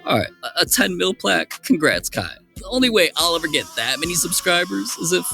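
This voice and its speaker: A man's voice: sits high, sing-song, brisk, gravelly, pushed and bright. A man hosting a YouTube commentary, narrating in a scripted, teasing, joking way. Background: background music.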